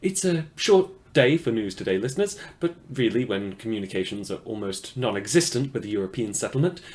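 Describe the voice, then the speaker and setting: A man's voice: on the low side, expressive, fairly quick, darkish tone, natural voice. A man playing a wry, awkward, self-deprecating small-time radio news host in a fiction podcast set after the apocalypse. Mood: theatrical, sardonic. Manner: rambling, self-deprecating, dryly sardonic.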